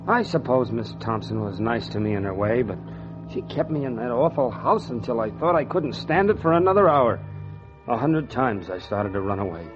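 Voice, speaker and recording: An actor playing a resentful, meek young houseboy in a 1940s radio drama, speaking earnestly and with some melodrama. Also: male voice, mid-range voice, animated, normal speed, lightly acted. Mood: bitter narration. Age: young adult. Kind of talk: 1940s radio acting with first-person narration. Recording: dull vintage radio recording with music.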